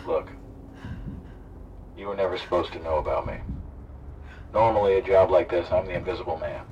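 A calm, sardonic hijacker in an audio drama who coaxes and threatens. His voice is very deep and sits at a distance from the mic, muffled, with faint noise behind. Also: normal speed. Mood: coaxing. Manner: calm, sardonic, persuasive, turning preachy. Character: smooth male eco-activist hijacker, menacing. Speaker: radio drama character.

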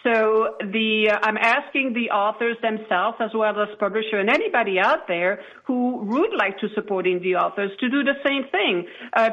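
A woman speaks as an interview guest, explaining in a conversational way. Her voice sits at a medium pitch, and the recording sounds somewhat dull, like online radio. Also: a bit bright. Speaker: interview guest, author show founder.

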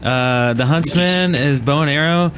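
A man's voice: on the high side, a little slow, fairly bright tone. A man hosting a casual group chat at a live event, speaking in a loose, hesitant way. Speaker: podcast host. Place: live podcast recording at a gaming convention. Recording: live convention room, faint background noise.

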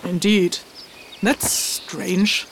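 A woman's voice: mid-range voice, flat delivery, very slow, slight background noise. A woman playing a stern, reserved, easily exasperated traveling mentor in a fantasy audio drama, speaking curtly. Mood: reserved, unsure. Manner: curt, hesitant, exasperated delivery.

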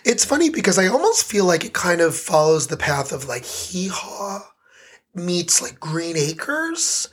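A chatty man co-hosting a theater podcast, his voice husky and fading. He talks a lot and with enthusiasm, close to the microphone. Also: sits high, sing-song, measured pace. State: losing his voice. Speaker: co-host. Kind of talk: casual chat.

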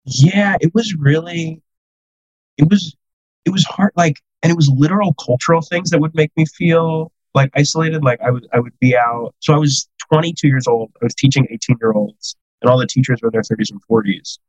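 A man's voice: on the high side, fairly quick, no echo, dull audio. A man in his thirties from the United States, a podcast guest telling self-deprecating stories in casual chat, full of "like" fillers.

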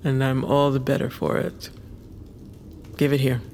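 An actress playing a stern, wise older warrior grandmother in an audio drama, speaking in a measured, commanding way. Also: a bass voice, monotone, darkish tone, a little hiss or hum. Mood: quietly guiding. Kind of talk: audio fiction drama acting.

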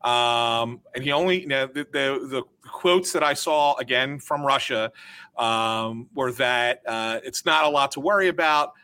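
Man, hockey reporter, bright tone, careful hedged explainer. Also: mid-range voice. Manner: measured, cautious explaining with fillers. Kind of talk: casual sports talk.

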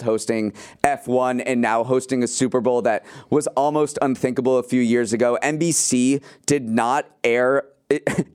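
Clear-voiced American male podcast co-host. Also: medium pitch, darkish tone. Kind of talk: casual news banter with co-host.

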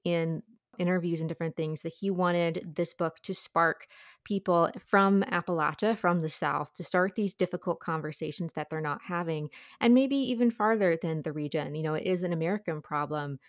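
A woman's voice: on the low side, normal speed, dry recording, studio quality. A woman talking through a book conversationally as a guest reviewer on a podcast.

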